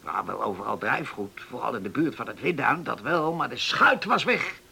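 An actor playing a garrulous old island man in his seventies, reminiscing in a halting, folksy way in a radio play. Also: mid-range voice, sing-song, gravelly, sharp tone, breathy edge, exaggerated voice. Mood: wistful. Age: 70s. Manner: folksy, halting, repeats himself, verbal tics. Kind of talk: radio drama acting.

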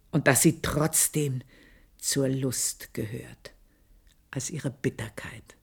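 An actress playing an aging, shrewd maid in a radio play, confiding her memories in a hushed, whispery way. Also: deep voice, breathy edge, exaggerated voice. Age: older. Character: aging female servant, shrewd and bitter. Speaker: maid recounting her past. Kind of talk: 1980s radio play monologue.

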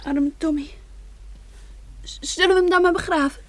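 A woman's voice: extremely high pitch, fairly even intonation, moderate pace, fairly bright tone, dry recording. A woman acting in a Dutch radio drama as a brave, frank nine-year-old girl, childlike and artless. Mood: matter-of-fact, subdued. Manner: childlike, artless, matter-of-fact.

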